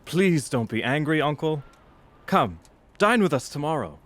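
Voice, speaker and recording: An actor playing a cheerful, earnest, warm-hearted young nephew in an audio drama, hearty and pleading in manner. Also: male voice, on the high side, big pitch swings, measured pace. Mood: pleading, kindly.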